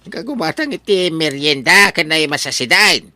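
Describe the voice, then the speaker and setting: A man performs an elderly Ilocano widower, giddy with love, in a comic radio drama. His voice is bright and forceful, swinging animatedly up and down, with hesitant interjections. The recording is close and dry. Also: on the high side, animated, moderate pace, dry recording. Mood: excited, coaxing. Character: elderly widowed father, giddily in love with young sweetheart.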